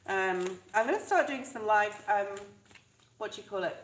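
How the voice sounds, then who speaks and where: A woman's voice: mid-range voice, animated, moderate pace, fairly bright tone, roomy sound, slight background noise, rough recording. Stuffy-nosed woman reading tarot live. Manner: chatty, reassuring, addresses viewers directly. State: stuffed up, fluey. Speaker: tarot reader.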